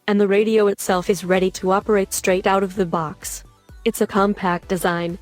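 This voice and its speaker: A woman delivers scripted product review narration at a medium pitch. She reads as a voice-over narrator, with music playing behind her. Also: darkish tone. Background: background music.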